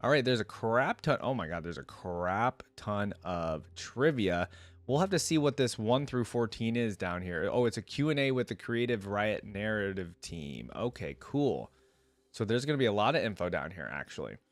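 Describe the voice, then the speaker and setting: A man hosting a reaction video, casual and informal, reading aloud and thinking out loud with asides. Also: on the low side, big pitch swings, normal speed, dry recording.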